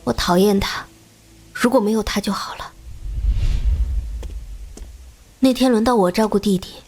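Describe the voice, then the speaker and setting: A woman playing a bitter, remorseful young woman in an animated drama, narrating her past in a measured, melancholy way. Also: medium pitch, faint music behind, natural voice. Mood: bitter, regretful.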